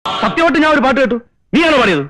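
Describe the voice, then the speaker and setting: A man's voice: very high-pitched, very animated, very fast, bright, forceful tone, no echo, exaggerated voice, poor audio. An actor playing a bantering man in a comedy film. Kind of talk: Malayalam comedy film acting.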